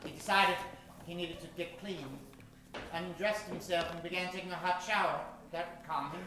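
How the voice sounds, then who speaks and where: A man's voice: sits high, steady tone, moderate pace, background noise, natural voice. A man playing the droll storyteller of a comic old-time radio mystery, narrating with suspense and wry asides. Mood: dramatic. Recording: very echoey stage, heard at a distance.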